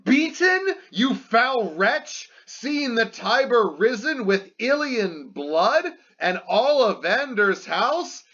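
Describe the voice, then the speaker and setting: A man's voice: high voice, fairly bright tone, full character voice. A man playing a proud, scornful young warrior prince in a dramatic epic reading, declamatory and contemptuous, on a muffled recording.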